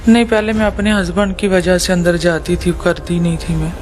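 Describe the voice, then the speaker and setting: A woman's voice: on the low side, flat delivery, fairly quick, a little mellow, faint music behind. Hesitant young woman giving short answers. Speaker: arrested suspect. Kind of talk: answering questions.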